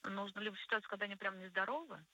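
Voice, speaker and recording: A woman speaking as a guest psychologist on a radio show, explaining conversationally and deliberately, stressing key words. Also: medium pitch, bright, forceful tone. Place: radio studio.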